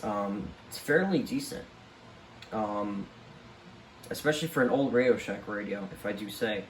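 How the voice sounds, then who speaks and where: A man giving a casual, unscripted show-and-tell, speaking haltingly and trailing off at the ends of his thoughts. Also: fairly low pitch, roomy sound, poor audio. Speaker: collector presenting a home video tour. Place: room at home among many radios. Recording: clear background noise and room ambience. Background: weather radio broadcast playing.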